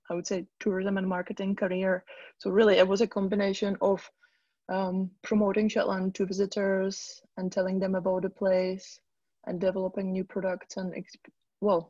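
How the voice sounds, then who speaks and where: Woman in her 30s, even and flat delivery, dull dry line. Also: mid-range voice, vocal fry, dry recording. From Czech Republic. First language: Czech. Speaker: guest, tour business owner. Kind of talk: conversational interview.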